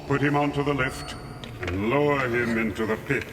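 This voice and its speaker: An actor playing a commanding, menacing dark lord of a space empire in a radio drama, speaking in an imperious, measured way. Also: male voice, medium pitch, very noisy, close to an everyday voice. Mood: cold command. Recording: loud orchestral music behind the voice.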